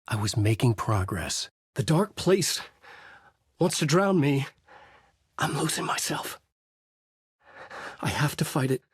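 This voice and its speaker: An actor playing a haunted, desperate horror writer, narrating in a brooding, intense noir style for a video game. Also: male voice, medium pitch, big pitch swings, takes it slowly, pauses often, breathy edge, no room sound, studio quality, exaggerated voice. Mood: desperate, strained. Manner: brooding, intense, terse delivery. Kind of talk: dramatic first-person noir narration.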